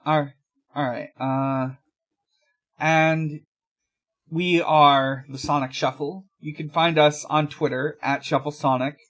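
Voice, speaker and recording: Bright forceful voice, mid-pitched, casual podcast host, halting, rambling delivery. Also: level tone.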